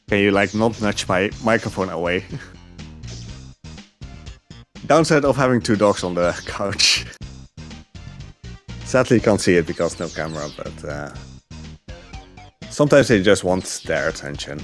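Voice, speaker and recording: A man commentating on a speedrun from his couch at home, chatty and relaxed with lots of fillers. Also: sits low, unhurried, pauses often, soft background music. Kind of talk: casual gameplay commentary. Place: home living room couch.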